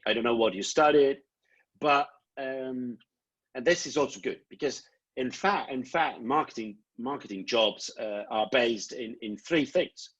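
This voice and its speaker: A middle-aged Italian man with an Italian accent, answering prospective students' questions on a video call, halting and repeating words as he explains. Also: medium pitch, a bit bright, husky. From Italy. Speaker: programme director. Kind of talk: online Q&A answers. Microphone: laptop webcam video call. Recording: dull lo-fi video call audio.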